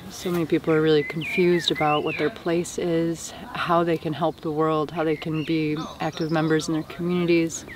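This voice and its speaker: A young woman from the United States, an herbalist and permaculture teacher, speaking in an earnest, passionate and measured way outdoors in a rural field. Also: low voice. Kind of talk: casual interview talk. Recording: outdoor countryside noise. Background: birds in the countryside.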